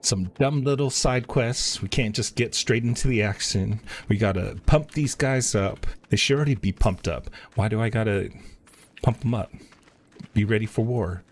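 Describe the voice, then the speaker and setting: Husky, low-voiced man streaming a game. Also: moderate pace. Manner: slangy, offhand. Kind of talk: casual live-stream commentary, reading game dialogue aloud.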